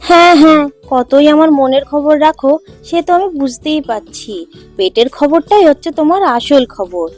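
A woman doing high-pitched, fussing cartoon family woman. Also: expressive, normal speed, a little mellow, faint music behind. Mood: chiding. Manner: fussing, affectionate scolding. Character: doting, fussing mother-in-law and her chiding married daughter. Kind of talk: Bengali cartoon folk-tale dialogue.

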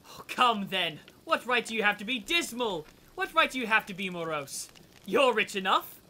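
An actor playing a cheerful, warm-hearted nephew in a radio drama, earnest, hearty and declamatory. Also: male voice, sits high, big pitch swings, normal speed, slight background noise, heavily acted. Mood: jovial, teasing. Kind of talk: stage-style radio drama acting.

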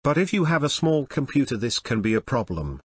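A man's voice: medium pitch, very animated, fairly quick, breathy edge, dry recording. Synthetic man narrating a tutorial, dry. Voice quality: synthetic text-to-speech. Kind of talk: scripted step-by-step tutorial narration.

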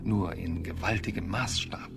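A man's voice: deep voice, unhurried, creaky, loud music behind the voice. An actor playing a learned Martian man who explains precisely, in a radio drama. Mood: explaining.

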